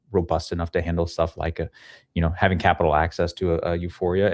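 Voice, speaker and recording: A man with a low, gravelly voice gives casual, explanatory answers as an interview guest. He is close on a podcast microphone, and the sound is very dry. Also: a little mellow, no echo. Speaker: guest, head of ecosystem at a crypto project. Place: small home room with studio gear, indoors. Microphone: podcast microphone on a boom arm.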